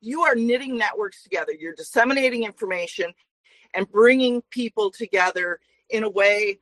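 Bright, earnest woman guest. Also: medium pitch, normal speed, no echo, rough recording. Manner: earnest, talkative, many fillers. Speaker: guest, traveling minister. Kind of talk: interview guest talk.

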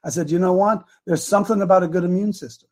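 An American man with a raspy voice hosting a religious talk show, chatting casually in a folksy, animated way and telling rambling personal stories. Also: high voice, fast. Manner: folksy, animated, repeats phrases for emphasis.

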